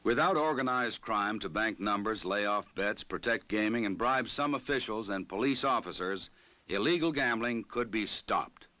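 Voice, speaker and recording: An American man reading scripted narration for a training film in a formal, measured, authoritative manner. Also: fairly low pitch, expressive, pushed and bright, no room sound, rough recording.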